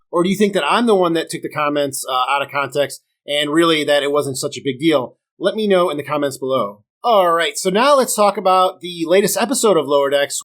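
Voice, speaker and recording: Chatty man with a sore throat. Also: a little high. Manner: chatty, opinionated, addresses viewers directly. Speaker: podcast host. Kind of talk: casual review podcast.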